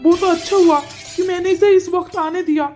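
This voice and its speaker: Woman playing an anxious young husband, high-pitched. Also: fairly even intonation, a little fast, a little mellow, faint music behind. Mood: regretful. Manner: brooding, musing to himself. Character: anxious young husband, male, regretful, attached to wife. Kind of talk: melodramatic cartoon story acting.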